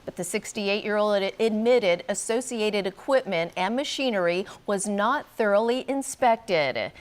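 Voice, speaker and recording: Mid-pitched female news anchor. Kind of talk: scripted news presenting.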